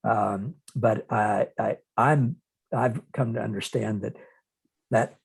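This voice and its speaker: Guy interviewer, gravelly n husky, reflective, halting, repeats his words while thinking. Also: lowish voice. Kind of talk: reflective conversational interview talk on faith.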